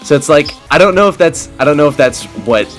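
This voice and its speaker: A man's voice: a little high, lively intonation, quick pace. Rambling young man over game music. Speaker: gaming video host. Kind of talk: casual chatty game commentary with friends. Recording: background music under the voice.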